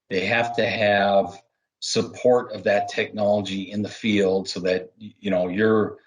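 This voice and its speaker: A man giving explanatory interview answers as a business development manager, halting at times and laying out points one by one. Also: lowish voice, rough recording.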